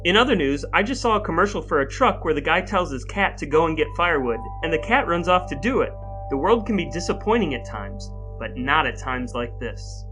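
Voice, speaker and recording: Male American podcast host, slightly high voice, wry and mock-formal, music underneath. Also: big pitch swings, brisk, pushed and bright. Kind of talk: scripted dramatic reading and podcast chat.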